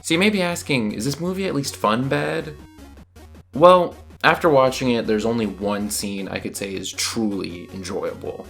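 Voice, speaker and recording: A man's voice: medium pitch, moderate pace, vocal fry, a bit bright, faint music behind. A man narrating a scripted video review with casual asides, in a blunt, exasperated manner.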